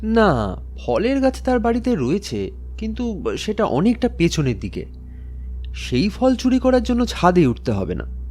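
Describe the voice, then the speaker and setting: A man's voice: sits high, huge pitch swings, a little slow, darkish tone, soft background music, heavily acted. A man playing a troubled, earnest office worker in an audio story, speaking hesitantly and respectfully. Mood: pensive, puzzled. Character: Kishori, troubled male office-going friend, earnest and hesitant. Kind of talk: Bengali audio story acting.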